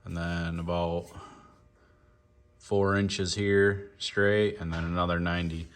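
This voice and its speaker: A man's voice: low-pitched, little pitch movement, takes it slowly. A man giving a casual, plainspoken hands-on walkthrough as a car builder, thinking aloud in an informal way. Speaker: car builder hosting a fabrication video. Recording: noisy handheld shop video, ambient noise.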